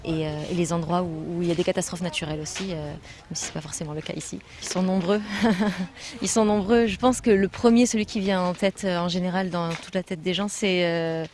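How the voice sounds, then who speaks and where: A woman's voice: on the low side, steady tone, a little fast, a little mellow. A woman from France, a trainer with a building foundation, answering interview questions in an earnest, explanatory way with frequent hesitations.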